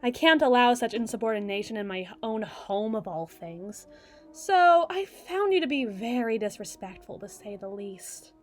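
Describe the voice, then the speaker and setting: A woman voicing a regal, icy evil queen in a scripted ASMR roleplay, speaking in formal, theatrical oratory with deliberate emphasis. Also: on the high side, expressive, faint music behind, close to an everyday voice. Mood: scornful, imperious.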